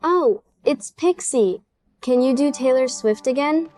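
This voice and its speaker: A woman with a fairly high, mellow voice talks casually to gamer friends. Music plays softly behind her. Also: unhurried. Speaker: friend in a gaming voice chat. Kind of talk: casual chat.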